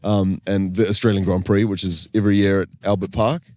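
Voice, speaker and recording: A young man, chatting casually as a guest musician on a podcast, laid-back and quick to laugh. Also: sits low, level tone, darkish tone, no echo, studio quality. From New Zealand.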